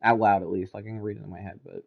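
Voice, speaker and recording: A man's voice: fairly low pitch, steady tone, fast, creaky, breathy edge, lo-fi sound. A man livestreaming a video game, talking casually in an earnest, sentimental and reflective way. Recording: loud music behind the voice. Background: game credits music.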